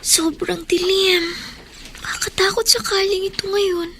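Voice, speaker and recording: Anxious young student, high voice, radio drama monologue with faint background noise. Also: female voice, steady tone, a little slow, pushed and bright, full character voice. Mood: worried grumbling.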